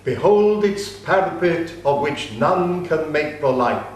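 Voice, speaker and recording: A man giving a slow, measured, solemn reading of an ancient epic. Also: fairly high pitch, expressive, slight background noise. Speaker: reader. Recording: very echoey room.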